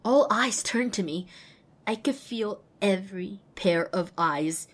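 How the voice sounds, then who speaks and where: Woman voicing little outcast pony girl telling her story, slightly raspy, normal pitch, anxious and expressive, audio drama. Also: slow pace. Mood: dread. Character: small young pony mare, anxious lonely outcast, narrating. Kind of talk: first-person dramatic audiobook narration.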